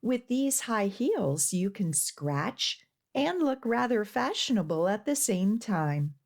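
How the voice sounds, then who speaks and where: A woman voicing a helpful, obliging shopkeeper in a children's storybook, with a measured salesperson's manner. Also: mid-range voice, sing-song, exaggerated voice.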